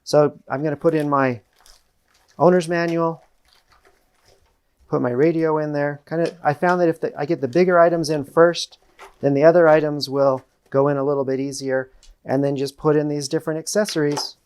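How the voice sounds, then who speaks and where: A man's voice: fairly high pitch, steady tone, normal speed, a little mellow, clean tone. A middle-aged American man hosting a product demonstration to camera, explaining things in a plain-spoken way. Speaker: host. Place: small indoor room with panelled wall. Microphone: lapel mic.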